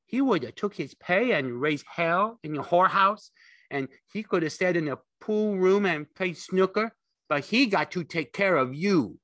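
A man voicing a sharp-tongued, nagging older aunt with coarse speech, in an audiobook. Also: sits high, lively intonation, a little slow, no room sound, voice pushed up. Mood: scolding, sharp.

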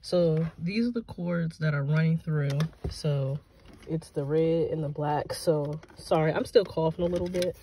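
A woman's voice: deep voice, measured pace, warm tone, slight background noise. A young adult American woman chatting casually to camera, thinking aloud in an informal way on a handheld phone in and around a car. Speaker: vlogger. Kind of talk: casual DIY chat to camera. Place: in and beside a parked car.

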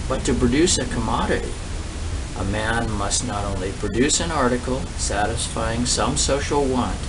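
An older man in his sixties reads a formal speech aloud at a middling pitch. His phrasing is deliberate and emphatic, broken by pauses. He is recorded outdoors a little way from the mic, with steady noise clearly behind him. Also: recorded from a distance. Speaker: reader of a political economy text. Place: outdoors on a brick-paved patio.

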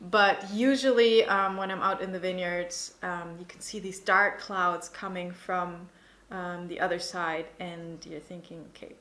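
A woman in her thirties being interviewed as a winemaker, explaining informally and pausing to think as she goes. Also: fairly low pitch, fairly even intonation, pushed and bright. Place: indoor tasting room with wooden table. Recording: roomy, echoing indoor sound.

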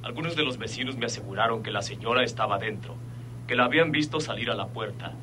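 A man's voice: mid-range voice, fast, bright, forceful tone, slight background noise, natural voice. A man playing an earnest, respectful son and investigator with a Mexican accent in a radio soap. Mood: grave reporting. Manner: respectful, formal.

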